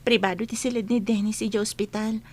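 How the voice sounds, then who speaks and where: A woman's voice: mid-range voice, fairly even intonation, fast, close to an everyday voice. An actress playing an earnest, devoted young student girl in a radio drama. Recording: soft music under the voice.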